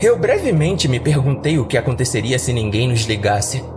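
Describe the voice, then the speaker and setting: Casting: male Brazilian narrator, mid-pitched, scripted horror podcast storytelling over a clear music bed. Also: big pitch swings, moderate pace.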